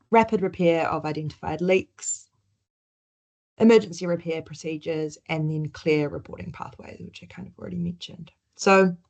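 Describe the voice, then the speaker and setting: Low-pitched woman, New Zealand accent, relaxed webinar presenter who restarts often. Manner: conversational, with frequent ums and restarts.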